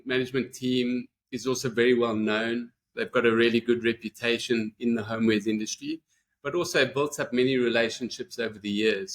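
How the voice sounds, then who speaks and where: Guest voice: man from Zimbabwe, South African accent, mid-pitched, steady and explanatory. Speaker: brand manager guest. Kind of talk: podcast interview.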